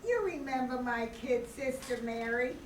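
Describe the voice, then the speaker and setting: A woman's voice: fairly high pitch, unhurried, lightly acted. An older woman playing a friendly, coaxing older sibling in an amateur radio play. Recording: echoey, distant room, faint noise.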